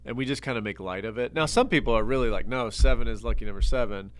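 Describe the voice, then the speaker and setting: A middle-aged man co-hosting a podcast in casual chat, rambling with frequent restarts and getting animated when making a point. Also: medium pitch, fast talker, no echo. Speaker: podcast host.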